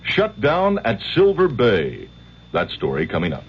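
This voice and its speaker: A man's voice: mid-range voice, wildly swooping pitch, measured pace. An American man anchoring a scripted hourly network radio news bulletin. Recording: dull, faintly noisy 1970s radio recording.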